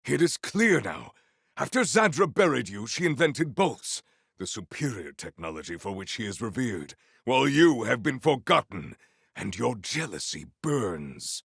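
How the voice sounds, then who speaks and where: An actor playing a proud, battle-hungry warrior in a video game, with formal, stilted and grandiose diction. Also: male voice, mid-range voice, big pitch swings, measured pace, vocal fry, a bit bright, slightly rough, no room sound, studio quality, exaggerated voice. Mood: scornful. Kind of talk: scripted video game character lines.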